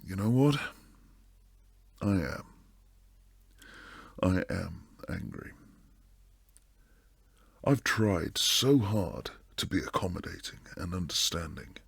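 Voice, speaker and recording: A man playing a protective, stern but secretly tender bodyguard boyfriend in an intimate roleplay ASMR, low and breathy, slipping into whispers. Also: very animated, no echo, exaggerated voice. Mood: frustrated, pleading. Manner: slow, hushed delivery sliding into whispers. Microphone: close, dry microphone.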